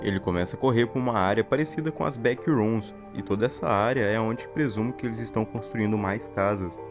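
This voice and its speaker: Low-voiced Brazilian man narrating over music. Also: fairly quick. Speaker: narrator of a horror explainer video. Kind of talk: scripted storytelling narration.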